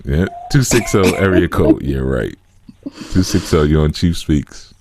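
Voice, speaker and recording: Blunt deep-voiced man hosting. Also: lively intonation, unhurried, background noise. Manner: blunt, frank, advising. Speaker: spiritual call-in show host. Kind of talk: casual call-in radio talk.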